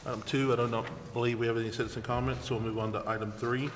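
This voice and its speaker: A man's voice: medium pitch, little pitch movement, rapid-fire. A man chairing a city council meeting, moving through formal procedure with some informal remarks. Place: large indoor council chamber. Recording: echoey council chamber with noise.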